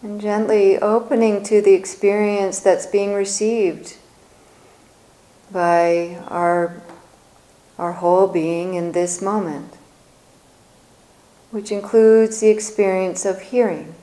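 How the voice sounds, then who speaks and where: Woman leading a meditation, really slow n mellow, gentle soothing voice, lots of long pauses. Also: mid-range voice, steady tone, rough recording. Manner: gentle, soothing, unhurried phrasing. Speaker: meditation teacher. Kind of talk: guided meditation, softly spoken with long pauses.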